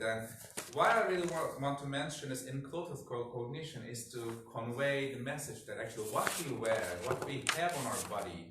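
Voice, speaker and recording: A man's voice: mid-range voice, a bit bright. A man giving an academic talk as a researcher, speaking hesitantly and often repeating words. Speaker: researcher presenting at a symposium. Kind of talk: academic conference talk, partly improvised. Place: indoor symposium room. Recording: very echoey room, distant mic, faint noise.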